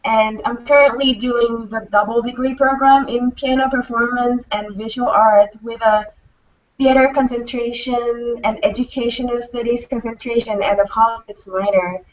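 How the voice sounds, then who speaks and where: A young woman from Thailand speaks in a fairly high voice. She sits away from the microphone, so her voice sounds distant and muffled. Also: fairly even intonation, normal speed. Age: young adult. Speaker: student panelist. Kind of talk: casual self-introduction.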